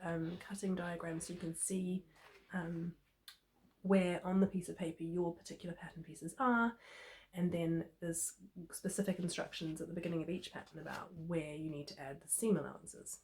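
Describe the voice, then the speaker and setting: Female sewing vlogger, New Zealand accent, lower register, a touch hoarse with a cold, informal chatty delivery. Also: steady tone, moderate pace, darkish tone, rough recording. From New Zealand, Christchurch. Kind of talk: casual sewing tutorial chat.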